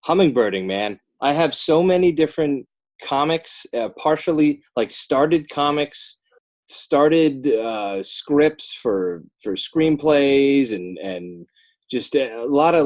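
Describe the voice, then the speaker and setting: A middle-aged American man chatting casually as an interview guest, with a rambling, self-deprecating way of talking. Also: low voice. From northern New Jersey, United States. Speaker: podcast guest, children's book author and illustrator.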